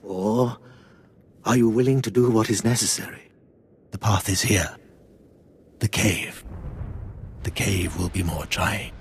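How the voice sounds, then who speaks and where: An older actor playing a solemn, ceremonial cult elder in a video game, speaking gravely and deliberately. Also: male voice, fairly low pitch, fairly even intonation, a little hiss or hum. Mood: stern. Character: solemn old cult elder testing initiates. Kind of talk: scripted video game dialogue.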